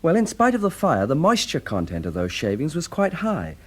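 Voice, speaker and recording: An actor playing a keen, careful British forensic analyst, technical and precise, in a classic radio drama. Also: male voice, on the high side, wildly swooping pitch, a little fast. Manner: technical, eager, cautiously precise.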